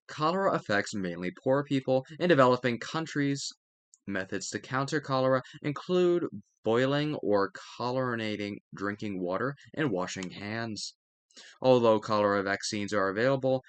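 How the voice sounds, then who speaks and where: A man with a medium-pitched voice reads study material aloud. The sound is dry, with no room echo. His delivery is careful and instructional, with an occasional stumble that he corrects. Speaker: narrator reading a history textbook aloud. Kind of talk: read-aloud educational narration.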